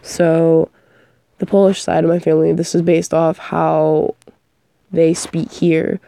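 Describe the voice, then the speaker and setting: A woman's voice: sits low, level tone, slow pace, creaky, dark tone. A young woman who is sick and coughing, rambling casually about her work as an audio performer and often losing her train of thought.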